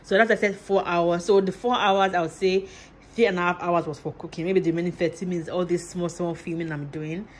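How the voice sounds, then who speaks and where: Woman from Nigeria, home vlogger, lowish voice, casual and chatty, phone-recorded in the kitchen. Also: steady tone, brisk, hardly any pauses. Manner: chatty, laughs often, simple repetitive phrasing. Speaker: vlogger and mother. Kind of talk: casual home vlog chat.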